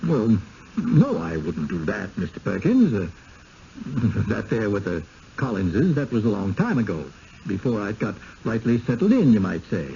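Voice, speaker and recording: An actor in a 1970s radio drama playing a folksy, uneasy village servant with a rural American accent, halting and plain-spoken. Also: male voice, lowish voice, big pitch swings, vocal fry, warm tone. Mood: deferential, guarded. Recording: muffled, noisy old radio broadcast.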